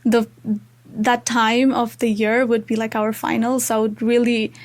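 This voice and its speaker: High-voiced young Nepali-accented woman. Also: flat delivery, moderate pace. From Tarai region of Nepal. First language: Maithili. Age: young adult. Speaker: student interview guest. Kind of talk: casual conversational interview.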